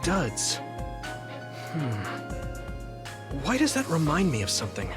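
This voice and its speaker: A man's voice: a little high, huge pitch swings, slow pace, exaggerated voice. A man playing an earnest, direct young squad leader in an anime-style game. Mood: thoughtful. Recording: music under the dialogue.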